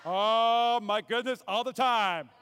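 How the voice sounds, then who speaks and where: Very high-voiced male pastor from North Dakota. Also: slow pace, smooth. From North Dakota, USA. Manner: playful, animated, quizzes the congregation. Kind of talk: humorous sermon with call-and-response.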